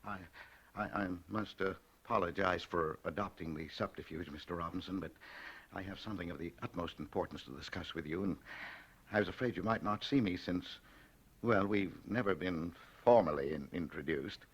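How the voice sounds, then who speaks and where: An actor playing a meek, shy, middle-aged husband secretly plotting murder in an old radio drama, with a hesitant, apologetic manner. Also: male voice, sits low, normal speed, breathy edge. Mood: nervous, deferential. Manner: mild, hesitant, stammering, apologetic. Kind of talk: 1940s radio drama acting and narration. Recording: vintage radio recording with faint music.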